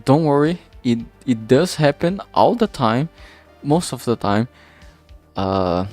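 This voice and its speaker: A man talking casually and haltingly in a rambling vlog, informal and self-deprecating, with some swearing. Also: medium pitch, gravelly, darkish tone. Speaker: indie visual novel creator and vlog host. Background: background music.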